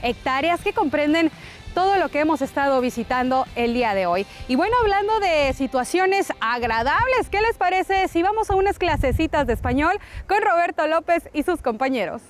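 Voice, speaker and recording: A woman's voice: high voice, animated, normal speed, faint music behind. A woman hosting a sports show segment, presenting to camera outdoors with a lapel mic. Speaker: show host. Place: outdoors in a park.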